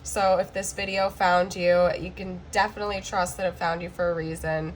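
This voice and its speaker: A woman recovering from the flu, with a hoarse, cracking voice, giving a chatty tarot card reading to camera and checking in often with "okay?". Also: lowish voice, flat delivery, moderate pace, slight background noise, rough recording.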